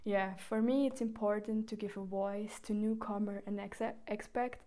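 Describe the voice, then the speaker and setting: A woman in her twenties with a Swiss German accent, a native Swiss German speaker, hosting a podcast intro in friendly, slightly halting English. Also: medium pitch, fairly even intonation, warm tone. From Switzerland. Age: 20s. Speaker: podcast host.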